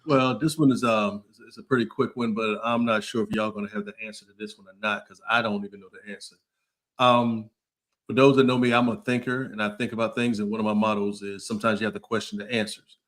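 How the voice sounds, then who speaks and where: A man's voice: fairly low pitch, a bit bright. A man from Brooklyn, a podcast co-host, explaining things casually and thoughtfully, building step by step to his point. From Brooklyn, New York.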